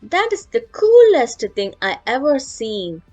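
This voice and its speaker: A woman's voice: high voice, huge pitch swings, measured pace, darkish tone, highs cut off, pitched above the performer's own voice, exaggerated voice. Lady doing a dramatic mom from a picture book, exclaiming, really up and down, music under it. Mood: delighted. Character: mother, first delighted, then disgusted with her son. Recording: music playing behind.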